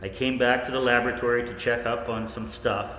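An actor playing a male researcher narrating strange events in a 1940s radio play, in a folksy, measured storytelling way. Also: mid-range voice, steady tone, fairly bright tone, lightly acted. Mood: wondering. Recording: echoey hall with background noise.

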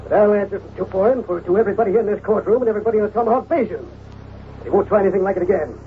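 A domineering rich cattle baron played by a man talks briskly, smooth and calculating. It is old-time radio villainy on a thin, band-limited recording with faint noise. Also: sits high, phone-quality sound, lightly acted. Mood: insistent, self-assured.

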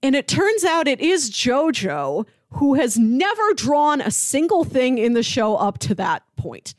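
A woman's voice: high-pitched, measured pace, no room sound, studio quality. A woman co-hosting a casual TV review chat, talking conversationally and peppering her sentences with "like". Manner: animated, conversational, frequent "like". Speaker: podcast co-host.

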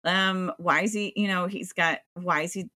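A woman's voice: on the low side, steady tone, normal speed, bright, forceful tone, no room sound, clean studio sound. A woman co-hosting a casual film podcast, talking in a rambling way with many fillers. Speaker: podcast co-host.

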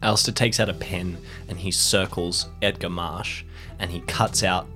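A man's voice: sits low, fairly even intonation, normal speed, sharp tone, soft background music. A man narrating his character's actions as a player in an improvised tabletop story.

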